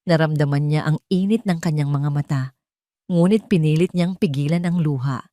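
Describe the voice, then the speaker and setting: Woman narrator, low pitch, dark mellow tone, scripted dramatic storytelling, dry studio-like sound. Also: moderate pace, no room sound.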